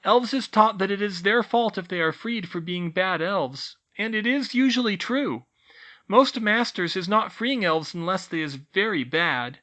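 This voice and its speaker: A reader voicing Tilly, an older female house-elf teacher who squeaks in broken grammar. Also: expressive, measured pace, fairly bright tone. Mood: earnest.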